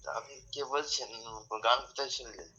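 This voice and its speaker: A man's voice: mid-range voice, brisk, a crowd in the background. A man on a phone call, asking questions in a puzzled way. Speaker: phone call recipient, kirtan singer.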